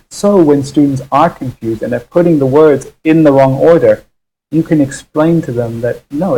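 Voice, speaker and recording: Mellow, husky male English teacher. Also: mid-range voice. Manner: relaxed explanatory talk with frequent ums and uhs. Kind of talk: live online English lesson, answering viewer questions. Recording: muffled lo-fi livestream audio.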